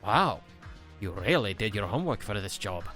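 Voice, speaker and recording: A man playing an excitable, gullible prison warden in a comic dub, earnest in his way of talking. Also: lowish voice, normal speed, over music. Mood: grave, explanatory.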